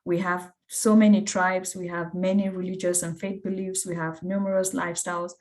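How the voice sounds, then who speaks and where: A woman's voice: on the low side, level tone, normal speed, darkish tone. A woman with a Cameroonian English accent speaks as an academic presenter, walking through slides on a video call. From Cameroon, West Africa. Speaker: academic researcher, guest speaker.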